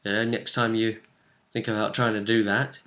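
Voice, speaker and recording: A man's voice: on the low side, level tone, moderate pace, a bit bright. A man casually recapping a tabletop role-playing session as game master, rambling and dropping into characters' lines as he goes.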